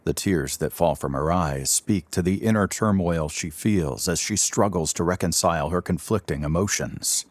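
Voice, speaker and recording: An actor as the solemn narrator of a superhero audio drama, telling it in grave, florid, scripted prose. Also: male voice, low-pitched, normal speed, hardly any pauses, dry recording. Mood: grave, poetic. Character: solemn male storyteller of a superhero tale.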